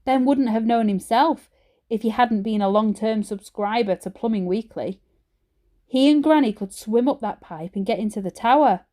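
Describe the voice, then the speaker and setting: A woman teacher reading a children's story aloud in an expressive storytelling style. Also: a little high, normal speed.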